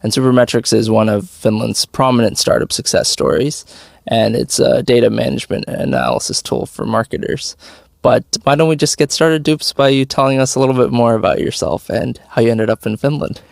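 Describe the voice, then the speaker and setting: A young man from India hosts a podcast, speaking at an average pitch with a strongly gravelly, creaky voice. He introduces himself and his guest in a friendly, measured way, recorded dry and close. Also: fairly even intonation, a little mellow, no room sound. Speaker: podcast host. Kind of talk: podcast interview hosting.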